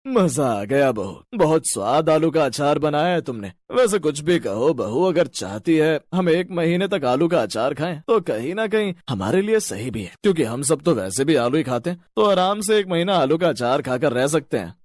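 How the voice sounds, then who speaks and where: Guy playing earnest indian family man in a cartoon story, highish voice, really lively intonation, dry sound. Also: moderate pace, few pauses, no room sound. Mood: satisfied. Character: earnest men of an Indian family, husband and in-law. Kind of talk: animated story dialogue.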